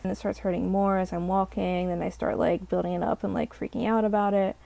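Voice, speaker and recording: A young woman, slightly hoarse, telling a rambling casual storytime full of "like" fillers. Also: mid-range voice, level tone, a little fast, mellow, no room sound. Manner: rambling, heavy use of "like" fillers. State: slightly hoarse from a sore throat. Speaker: vlogger.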